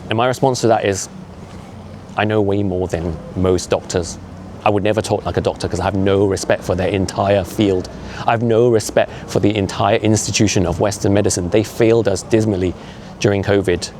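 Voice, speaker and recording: A man in his fifties speaks in a low voice, earnest and opinionated, with a chatty vlog manner. He is walking outdoors on a city street. Traffic and street noise come through clearly behind him. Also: steady tone, fairly quick, darkish tone. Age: 50s. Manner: opinionated, earnest, conversational with frequent asides. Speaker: vlogger. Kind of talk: casual walking vlog monologue. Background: traffic and urban street noise.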